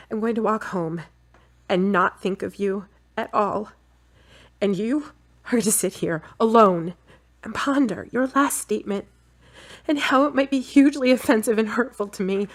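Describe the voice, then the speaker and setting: A woman's voice: on the high side, unhurried, long pauses, fairly bright tone. An actress playing a sensible, exasperated woman in audio drama dialogue, frank and articulate. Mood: offended, firm.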